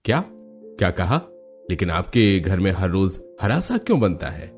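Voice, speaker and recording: An actor playing a patient male doctor in a Hindi cartoon, explaining calmly. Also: low-pitched, moderate pace, soft background music. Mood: advising. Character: male doctor, calm and advising.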